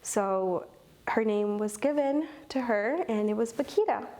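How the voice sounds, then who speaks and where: A woman's voice: a little high, lively intonation, measured pace, darkish tone. A young woman in her twenties from Kentucky, a program coordinator, answers interview questions earnestly, with many ums. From Owensboro, Kentucky.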